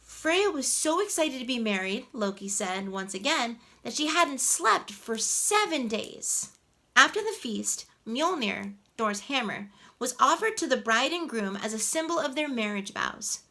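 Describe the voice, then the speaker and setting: A woman playing a sweet, playful mermaid who tells stories to children in an animated, coaxing way. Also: on the high side, measured pace, heavily acted. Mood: storytelling. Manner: animated, coaxing, asks listeners questions. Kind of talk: children's storytelling, addressed to the audience. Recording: faint background ambient noise.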